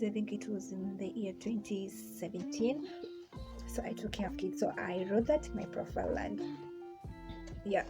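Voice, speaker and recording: A woman's voice: mid-range voice, low-quality recording. A young woman with a Kenyan accent, whose first language is Swahili, giving casual advice in a chatty, hesitant way with many fillers and restarts. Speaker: vlogger. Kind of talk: casual how-to vlog. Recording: music playing underneath.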